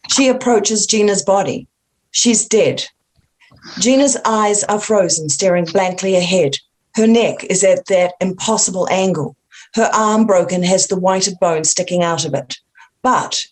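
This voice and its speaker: A woman's voice: medium pitch, rough recording. A woman reading screenplay stage directions as a narrator, in a plain, matter-of-fact manner.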